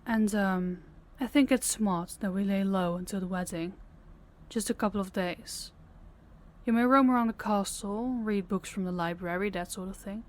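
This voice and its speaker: A woman's voice: medium pitch, normal speed, natural voice. Female actor as rival princess for fantasy roleplay: young, mid-range voice, reserved, caring underneath. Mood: guarded, gentle. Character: guarded young rival princess, reluctant but caring bride-to-be. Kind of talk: intimate fantasy audio roleplay.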